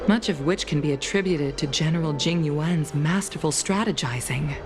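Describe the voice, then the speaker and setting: A woman playing a composed, mature official and former pilot in a video game, speaking in a measured, formal and courteous way. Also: low voice, close to an everyday voice. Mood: grave, concerned. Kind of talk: scripted video game voice acting. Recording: music behind the voice.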